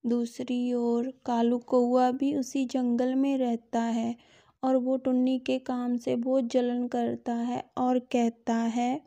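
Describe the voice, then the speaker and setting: Female kids' story narrator: high, unhurried, level intonation. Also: monotone, dark tone. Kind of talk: scripted children's story narration.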